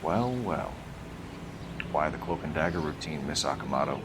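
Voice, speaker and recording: An actor playing a stern, probing police detective in a video game, formal and clipped. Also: male voice, extremely low pitch, normal speed, background noise. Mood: wry. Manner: formal, clipped, pressing questions. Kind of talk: video game dramatic acting, interrogation.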